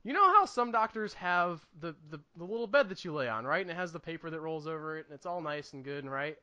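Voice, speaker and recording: A man with a notably high, bright voice co-hosts a casual podcast. He talks with comic emphasis and repeats himself for effect as he tells stories. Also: animated, fast, clean tone, lo-fi sound. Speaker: podcast co-host. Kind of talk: casual comedic chat and anecdote telling.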